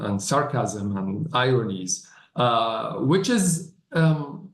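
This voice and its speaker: Guy w on a panel, echoey room, thinks out loud, lots of hesitant pauses. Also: on the low side. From Palestinian. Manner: deliberate, with frequent hesitant pauses. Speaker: novelist, festival panelist. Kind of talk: thoughtful live panel discussion. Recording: echoey live event room.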